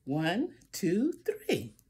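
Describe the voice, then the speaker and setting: Slow, animated older woman reading a storybook. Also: lowish voice, husky. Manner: theatrical, drawn-out phrasing with asides to listeners. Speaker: storybook reader. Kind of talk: animated read-aloud of a picture book for children. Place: small room at home, indoors.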